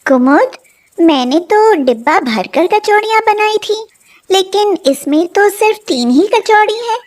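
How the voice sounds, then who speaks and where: An exasperated middle-aged mother-in-law performed by a woman narrator. Her voice is high and deliberate, full of puzzled questions and household scolding in a family storybook style. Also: animated. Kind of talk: animated moral story acting.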